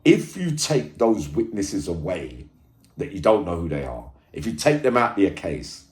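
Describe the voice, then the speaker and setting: A man giving informal commentary to viewers, halting and repetitive, stressing points and checking in with tags. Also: low voice, very animated. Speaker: true crime video host and commentator. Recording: echoey room sound.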